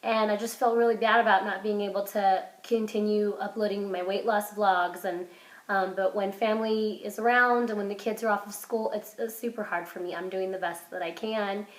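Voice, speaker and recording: Hoarse, stuffy woman chatting in an echoey room. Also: mid-range voice, fairly even intonation, moderate pace. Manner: rambling, chatty, lots of fillers and restarts; upbeat, self-encouraging. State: hoarse and congested from a cold. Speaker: vlogger. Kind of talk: casual vlog chat. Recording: roomy echo.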